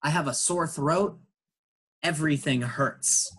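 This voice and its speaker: A man's voice: a little high, slow pace, fairly bright tone, husky. A man teaching an online English class, patiently explaining in English and Spanish.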